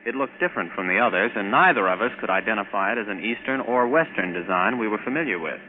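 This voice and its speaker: An actor playing an easygoing young American rocket captain, both acting and narrating in a 1950s radio drama. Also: male voice, lowish voice, animated, fast, close to an everyday voice. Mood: dramatic narration. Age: 20s. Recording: noisy, narrow-band 1950s radio recording.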